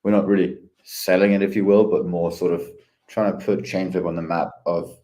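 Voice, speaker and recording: A man chatting casually as a podcast guest, easygoing and hesitant with many pauses. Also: low voice, flat delivery, mellow. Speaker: head of ecosystem, podcast guest.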